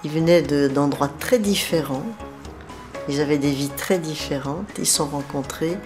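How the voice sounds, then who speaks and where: A woman's voice: low voice, normal speed, over music. A woman reading scripted documentary narration in a storytelling style.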